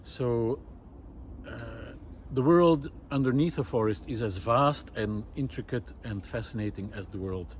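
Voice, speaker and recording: A man's voice: medium pitch, measured pace, slight background noise. A man giving an unscripted, reflective monologue outdoors in a wooded park, speaking in a thoughtful, hesitant way. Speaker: vlogger.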